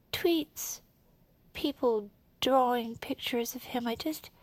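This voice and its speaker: An actress playing a sentimental, gushing true crime podcast co-host, earnest and effusive, in scripted audio fiction styled as chat. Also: female voice, fairly high pitch, a little mellow, no echo. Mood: tearful gratitude.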